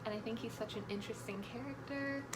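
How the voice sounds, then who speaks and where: A woman's voice: a little high, quick pace, noisy background. A woman talking casually about books as a guest on a vlog. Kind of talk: casual book chat.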